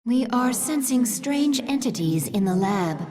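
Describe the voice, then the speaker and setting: A woman's voice: on the high side, lively intonation, a little slow, a little mellow. A woman playing a devoted alien broodmother in a video game, formal and subservient to her queen. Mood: reverent, reporting. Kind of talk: video game creature acting.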